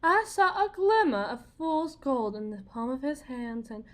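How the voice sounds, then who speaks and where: A woman acts out a desperate farm wife in an ASMR roleplay, her voice fairly high. She speaks very slowly and haltingly, with folksy, theatrical turns and a sardonic edge, sounding wounded and worn down. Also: big pitch swings. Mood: bitter, rueful. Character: desperate, wry, wounded pregnant farm wife bargaining with demon. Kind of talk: ASMR dramatic roleplay monologue.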